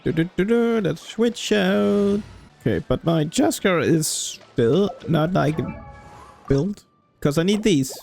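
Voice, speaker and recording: A man's voice: sits high, animated, slow, gravelly, a little mellow. A young man doing casual, rambling gameplay commentary, thinking aloud in an informal way. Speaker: video game let's-play host. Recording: game music behind commentary.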